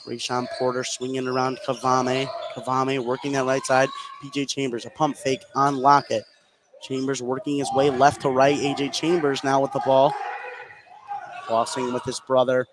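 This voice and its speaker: A man's voice: mid-range voice, flat delivery, moderate pace. A man doing live basketball play-by-play on the radio, narrating the action in short running phrases. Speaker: play-by-play commentator. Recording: noisy live gym broadcast.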